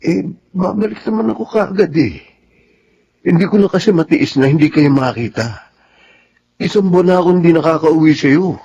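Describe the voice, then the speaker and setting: A man's voice: on the high side, expressive, normal speed, vocal fry, long pauses, dark tone, husky, muffled recording, heavily acted. An actor playing a devoted young soldier husband and new father in Filipino radio drama, tender and earnest. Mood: tender, reassuring.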